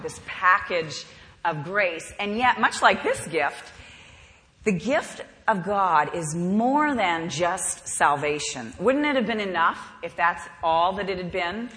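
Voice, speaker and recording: A woman from the Bahamas teaching a women's Bible study in a chatty, lively way. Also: medium pitch, big-room sound. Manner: warm, chatty, laughs easily.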